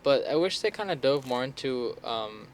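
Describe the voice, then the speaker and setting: A man's voice: mid-range voice, expressive, normal speed. Young male podcast co-host, easygoing and hedging; faint noise under the track. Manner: laid-back, hedging, swears casually. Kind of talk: casual chat.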